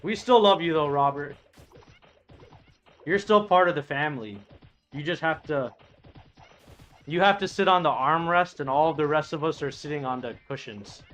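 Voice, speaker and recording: Guy in his late 30s rambling and swearing while reading chat, kinda high voice for a man, faint game music behind. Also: moderate pace, pauses often, fairly bright tone. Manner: rambling, profane, restarts sentences. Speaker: streamer playing an online game. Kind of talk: casual chat while reading viewer messages aloud.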